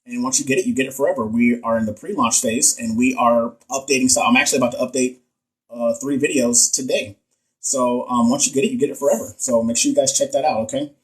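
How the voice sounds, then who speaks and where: Playful husky man from Texas. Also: mid-range voice, rough recording. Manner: playful, joking, slangy, reads viewer comments aloud. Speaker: nurse educator hosting a live stream. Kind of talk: casual live stream chat and quiz review.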